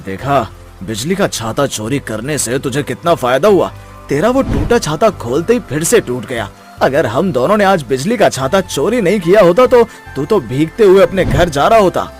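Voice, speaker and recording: A man's voice: a little high, big pitch swings, moderate pace, soft background music. A man voicing a mean, gloating schoolboy bully in a children's story, with a sneering manner.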